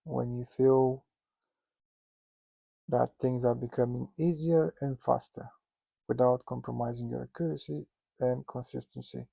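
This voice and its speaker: Russian-accented guy teaching, dry close sound, kinda flat and monotone, jokey asides, lots of uhs and restarts. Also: medium pitch, creaky, no echo. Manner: joking and self-mocking, with loose asides; halting, with many restarts and fillers. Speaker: instructor teaching founders. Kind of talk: casual spoken lesson.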